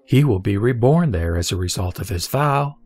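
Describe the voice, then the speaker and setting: A man voicing the Buddha as a serene teacher, reciting scripture in a measured, formal, solemn way for an audio drama. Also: on the low side, expressive, darkish tone, no room sound, faint music behind. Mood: solemn, instructive. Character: the Buddha, serene male teacher instructing a disciple.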